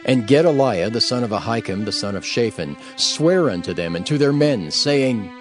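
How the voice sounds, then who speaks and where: A man's voice: mid-range voice, expressive, moderate pace, faint music behind. A man narrating scripture from a script as an audio Bible reader, formal and solemn.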